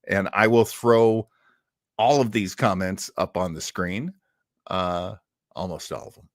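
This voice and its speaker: A man's voice: low-pitched, fairly even intonation, unhurried, no echo, clean studio sound. A man hosting a show with a casual, jokey, somewhat hesitant introduction. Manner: hesitant, self-deprecating, jokey. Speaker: host.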